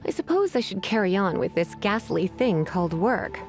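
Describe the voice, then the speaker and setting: Lively, flirtatious witch librarian. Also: mid-range voice. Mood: polite, teasing. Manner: sultry, teasing, drawn-out endearments. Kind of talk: anime video game voice acting. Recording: game dialogue with background music.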